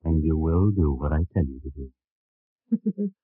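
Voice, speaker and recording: An actor playing a mad, manipulative conspirator in a 1950s radio drama, theatrical, poetic and deliberately commanding. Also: male voice, rumbling low, no echo. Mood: commanding, coaxing. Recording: muffled vintage radio recording.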